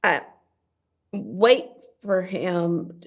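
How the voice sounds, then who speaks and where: A young woman playing a weary, protective abused daughter, recounting trauma in a halting, deliberate dramatic monologue. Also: on the low side, expressive, full character voice. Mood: halting, pained. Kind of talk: dramatic stage-style monologue.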